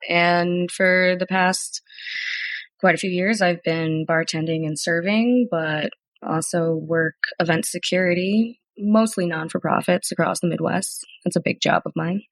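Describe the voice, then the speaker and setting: Woman, thirties, lowish voice, chatty storyteller, guest on an interview podcast. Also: fairly even intonation, a little slow, dry recording, rough recording. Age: 30s. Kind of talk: casual interview conversation.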